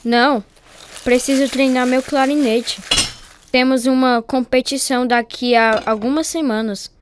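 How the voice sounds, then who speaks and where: A woman's voice: high voice, fairly even intonation, a little slow, noisy background. A woman playing an earnest boy, a dutiful son, in a radio drama. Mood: matter-of-fact.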